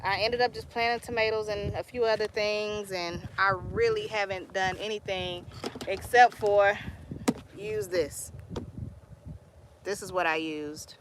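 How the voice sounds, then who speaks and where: A woman's voice: mid-range voice, fairly even intonation, a little slow, background noise. A young woman with a Texan accent, chatting casually on a live stream from her garden, greeting viewers and telling stories in Southern slang. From Texas, US. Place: outdoor garden.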